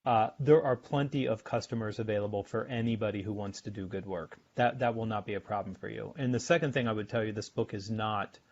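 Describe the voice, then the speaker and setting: A middle-aged American man, a sales author and podcast guest, explaining his ideas in a measured way and often repeating words as he thinks. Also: lowish voice, darkish tone. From United States. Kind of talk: podcast interview. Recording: dull lo-fi remote audio.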